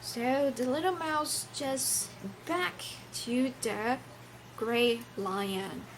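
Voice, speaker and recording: A woman's voice: sits high, very slow, noisy background. A woman who is a non-native English speaker reads a picture book to children theatrically, teacherly, asking and answering her own questions. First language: not English. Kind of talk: animated children's read-aloud with questions to listeners.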